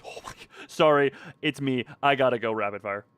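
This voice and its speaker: A man's voice: medium pitch, moderate pace, fairly bright tone. A man giving casual live gameplay commentary, rambling, self-deprecating and thinking aloud. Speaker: video game streamer. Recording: faint background noise and game ambience.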